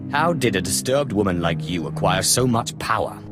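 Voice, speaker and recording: An actor playing a scornful, gruff warrior in a video game, curt and dismissive. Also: male voice, low voice, lively intonation, moderate pace, over music. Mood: contemptuous. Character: scornful, gruff male warrior, defiant and sardonic. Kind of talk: scripted video game dialogue.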